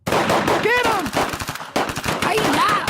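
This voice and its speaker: An actor voicing a manic, shrill evil Christmas elf in a radio drama, shouting in a fight. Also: very slow, heavily acted. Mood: aggressive, manic.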